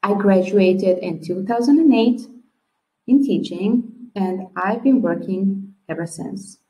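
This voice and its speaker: A woman with a Serbian accent talks to her webcam from a small room at home. She goes slowly and pronounces each word with care, like a teacher modelling for beginners. The room throws back a noticeable echo. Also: medium pitch, warm tone. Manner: slow and clear, with careful word-by-word modelling. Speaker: language teacher. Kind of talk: teacher's introduction video. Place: small room at home, classroom posters behind. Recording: echoey room.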